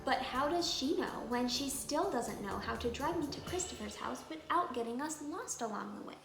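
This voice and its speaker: High-voiced woman reading aloud in echoey room. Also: moderate pace. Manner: dramatic, animated delivery with emphatic stresses and hushed moments. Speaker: children's book reader and channel host. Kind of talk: expressive children's read-aloud storytelling. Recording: roomy echo with clear music behind. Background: music.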